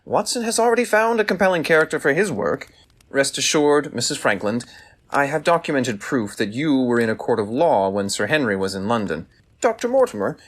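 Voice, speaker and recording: Shrewd detective, theatrical, authoritative, deliberate reasoning, widely swinging intonation, audio drama. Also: male voice, medium pitch, fairly bright tone, breathy edge. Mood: confident, pointed.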